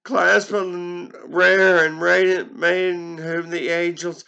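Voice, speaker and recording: A man playing an anguished, grieving narrator of a gothic poem, melodramatic and drawn-out as he rages and pleads. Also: sits high, flat delivery, vocal fry, pushed and bright, smooth, highs cut off, pitched above the performer's own voice, exaggerated voice. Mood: desperate pleading. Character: anguished grieving man raging at an ominous bird. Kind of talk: theatrical gothic poetry recitation.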